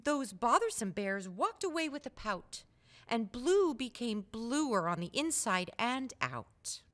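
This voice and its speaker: A woman in her thirties reads a picture book to young children. Her voice is high and swings widely in pitch, and she takes her time over each line. She is playful and emphatic, adding chatty asides. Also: sing-song, takes it slowly, a bit bright. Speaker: children's storybook reader.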